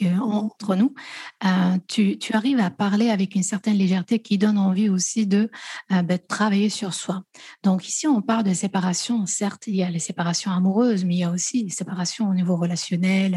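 A woman's voice: medium pitch, fairly even intonation, normal speed, husky, dry recording, clean studio sound. A woman hosting an interview podcast, welcoming her guest and often hesitating with fillers as she talks. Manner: warm welcoming, many hesitations. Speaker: podcast host.

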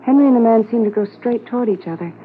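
An actress playing a stunned, quietly grieving policeman's wife, speaking plainly in a 1950s radio drama. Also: a little high, fast, close to an everyday voice. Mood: numb recollection. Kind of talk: 1950s radio drama acting, first-person narration. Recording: muffled, slightly noisy 1950s radio transcription.

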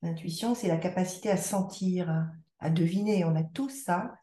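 A woman's voice: fairly low pitch, normal speed, warm tone, reverberant. A woman speaking as a podcast guest in reflective conversation, circling back and restarting her sentences as she thinks aloud. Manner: reflective, many restarts and repetitions. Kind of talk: conversational interview.

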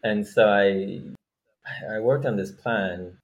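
Low-voiced man from Norway, interview guest. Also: slow pace. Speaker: guest, startup founder. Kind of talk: casual interview talk.

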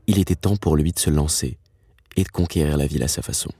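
A man's voice: a bass voice, fast, background noise. A man in his thirties narrating a personal documentary. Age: 30s.

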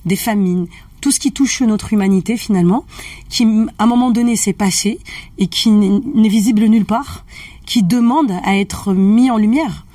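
A woman talks through transgenerational healing in a relaxed, conversational way, often asking her listener to picture things with her. Her voice is slightly breathy. It sounds a little distant from the mic in a dry room. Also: medium pitch, normal speed, no room sound. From family of Algerian roots. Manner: animated, checks in often with the listener. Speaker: holistic family-constellation practitioner. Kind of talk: casual conversational explaining.

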